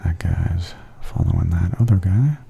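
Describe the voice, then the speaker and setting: A man giving softly spoken ASMR tour commentary in a breathy, near-whispered, gentle and unhurried murmur. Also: sits low.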